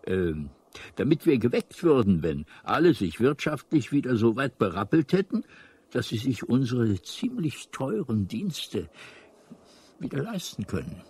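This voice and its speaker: An actor playing an elderly, absent-minded alien in a radio play, hesitant, rambling and formal, apologetic yet mildly ominous, with a husky voice. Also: male voice, medium pitch, sing-song, measured pace, darkish tone, heavily acted.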